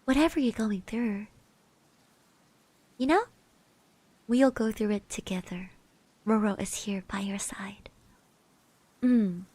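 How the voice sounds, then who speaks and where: A woman doing an ASMR roleplay as a caring girl classmate, soft-spoken and hesitant, close to the mic and often slipping into breathy whispers. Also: mid-range voice, slow, long pauses, no echo. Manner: hesitant, gently reassuring, speaks of herself in third person. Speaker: ASMR roleplay performer, streamer. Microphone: close microphone.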